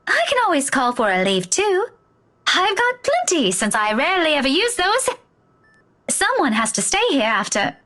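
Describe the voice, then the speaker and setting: A caring young schoolteacher from a video game. Her voice sits fairly high and swings up and down in lively fashion. She is earnest, stubborn and firm with her friends. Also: normal speed, heavily acted. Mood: insistent.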